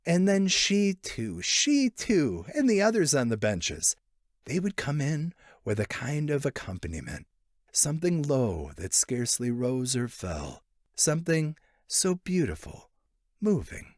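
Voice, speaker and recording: A man narrating a literary short story in an expressive, theatrical way. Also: on the high side, measured pace, no echo, clean studio sound. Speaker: audiobook narrator.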